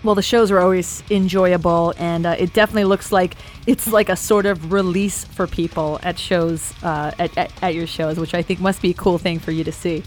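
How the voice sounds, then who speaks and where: A woman's voice: fairly low pitch, fairly even intonation, fairly quick, hardly any pauses, faint music behind. A woman hosting a radio show, giving polished announcer-style intros and asking her guest questions. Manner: upbeat, polished announcer delivery with some hesitant restarts.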